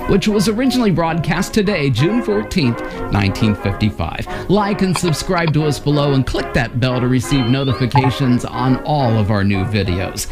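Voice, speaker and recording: Male host for scripted radio history narration, lively intonation, music bed. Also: medium pitch.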